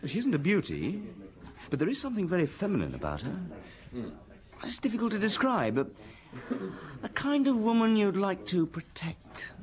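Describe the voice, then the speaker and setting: A man's voice: high voice, sing-song, normal speed, pauses often. An actor playing a dutiful male police detective in a radio drama, giving a matter-of-fact, conversational report to his chief. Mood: thoughtful. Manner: conversational, matter-of-fact, mimics quoted speakers.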